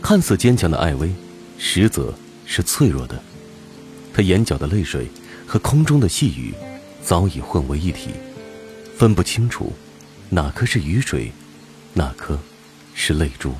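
Very deep male dramatic narrator. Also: very animated, unhurried, pauses often, a little mellow, soft background music.

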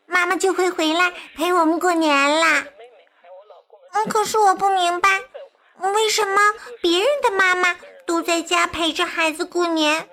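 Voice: extremely high pitch, pushed and bright, full character voice. A performer playing a young, clingy child with a whiny, pleading manner in a children's radio drama. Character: young child, clingy, tearful, upset at busy mother.

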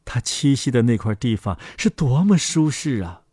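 A man narrating a fairy tale for children as the host, in an expressive scripted storytelling style. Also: mid-range voice, normal speed, husky, no echo, clean studio sound.

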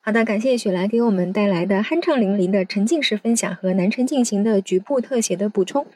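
Mid-pitched woman hosting a podcast. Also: quick pace, dark tone, slight background noise. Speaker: podcast host.

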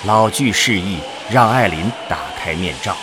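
A man reading scripted narration for a science fiction audio drama in a deliberate, dramatic way. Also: on the low side, music in the background.

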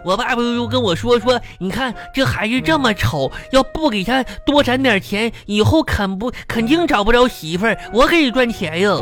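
A whiny, greedy little schoolboy in a radio comedy, pitched high with a Northeastern Chinese accent. He stammers and justifies himself childishly over a clearly audible music bed. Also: animated, normal speed, few pauses, a bit bright. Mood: boastful, naive. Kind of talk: live radio comedy acting.

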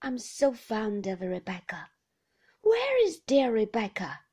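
A woman's voice: a little high, wildly swooping pitch, takes it slowly, warm tone, slightly rough, full character voice. A woman voicing a frail, confused old grandmother with a thin, querulous voice who keeps asking the same things. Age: older. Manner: plaintive, repeating herself.

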